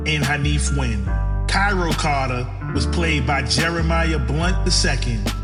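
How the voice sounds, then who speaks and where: A man announces scripted credits in a fairly high voice. Reverb trails his words, and loud music plays throughout behind him.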